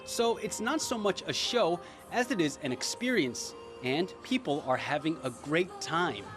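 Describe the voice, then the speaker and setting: Male reporter, upbeat feature voice-over, animated pitch, music behind. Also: unhurried.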